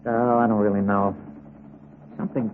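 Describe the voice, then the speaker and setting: An actor playing a loyal, eager, flustered aide in an old-time radio drama, halting, slangy and earnest. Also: male voice, on the low side, flat delivery, lightly acted. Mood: uneasy, urgent. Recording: tinny, noisy old radio recording.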